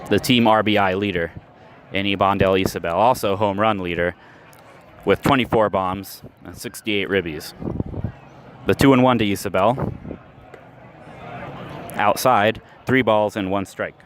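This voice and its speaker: A man calling baseball play-by-play for radio, setting the action against stats in a steady, measured delivery, recorded live at an outdoor ballpark. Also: fairly low pitch. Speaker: play-by-play announcer. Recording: noisy outdoor ballpark ambience.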